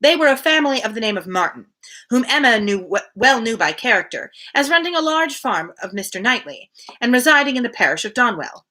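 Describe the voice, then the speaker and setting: Lively, bright-voiced woman reading aloud. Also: on the high side, a little fast. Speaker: reader hosting a daily livestream. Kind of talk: live novel read-aloud with casual asides.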